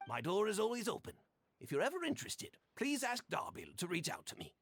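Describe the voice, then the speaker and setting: An actor playing a courteous townsman in a video game, with a raspy, creaky voice. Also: sits high, huge pitch swings, a little fast, a little hiss or hum, heavily acted. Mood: courteous, businesslike.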